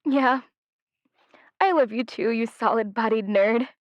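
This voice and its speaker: A woman's voice: a little high, no room sound, close to an everyday voice. A woman playing a flighty, apologetic, affectionate ghost in an audio drama, speaking hesitantly as a caller to an advice show over a lo-fi phone line. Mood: tender. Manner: hesitant, halting.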